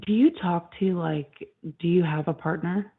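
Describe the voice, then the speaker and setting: A woman joining a live stream by call, monotone and pointedly sarcastic in her questions. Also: lowish voice, measured pace, gravelly, slightly rough. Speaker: co-host on call. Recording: phone-like call audio.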